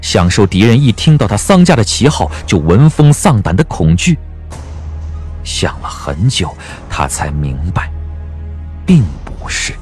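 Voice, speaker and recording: Very deep-voiced man narrating a drama. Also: sing-song, unhurried, a little mellow, faint music behind. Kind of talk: scripted audiobook drama narration.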